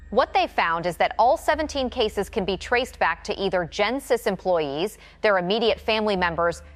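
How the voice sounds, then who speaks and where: A woman's voice: fairly low pitch, lightly acted. A woman playing a grave, composed TV news reporter delivering an on-scene report in an audio drama. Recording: music bed under the voice.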